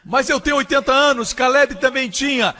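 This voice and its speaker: A man's voice: very high-pitched, fairly even intonation, a little fast, pushed and bright. A man delivering a dubbed sermon voice-over, speaking as preacher with emphatic, rising exclamations.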